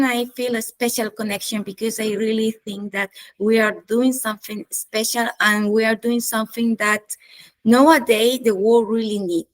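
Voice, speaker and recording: A woman from Chile, a chief strategist, answering interview questions in a thoughtful way, with pauses and hesitations. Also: a little high, rough recording. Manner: slow, thoughtful, with hesitations and light laughs. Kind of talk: interview conversation.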